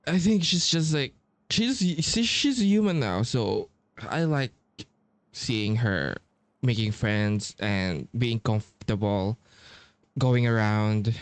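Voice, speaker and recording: Slow, halting man commentating casually on a game. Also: medium pitch, no room sound. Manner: halting, rambling, slangy. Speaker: game streamer. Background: video game music.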